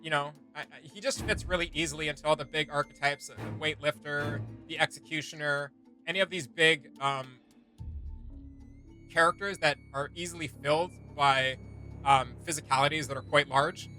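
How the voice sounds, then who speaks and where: Man, very bright forceful voice, slightly high pitch, casual rambling reaction host, faint music behind. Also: normal speed.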